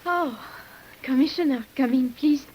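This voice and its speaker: An actress playing a weary, secretive French nurse in an old radio drama, speaking formally and hesitantly with breathy sighs. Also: female voice, high-pitched, unhurried, a little mellow, rough recording. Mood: weary, apologetic. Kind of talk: 1950s radio drama acting. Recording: hissy old radio recording.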